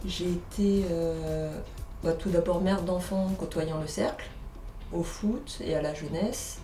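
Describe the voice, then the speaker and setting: A woman's voice: lowish voice, slow, dark tone. A forty-year-old woman, a volunteer, giving an interview testimonial. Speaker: former association president and volunteer. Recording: echoey, distant mic, music behind.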